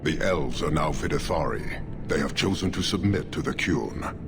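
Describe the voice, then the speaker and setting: An actor voicing a stern, imperious giant warrior commander in a video game, speaking in terse, grave pronouncements. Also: male voice, a bass voice, expressive, moderate pace, gravelly, full character voice. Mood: stern, unyielding. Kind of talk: video game cutscene acting. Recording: loud music under the voice.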